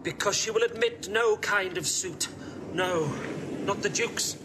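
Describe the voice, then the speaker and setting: Noisy recording, honest sea captain in shakespeare play, slightly high voice. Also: male voice, measured pace. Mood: informative, loyal. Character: plain-spoken, loyal sea captain. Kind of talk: classical Shakespearean audio drama acting.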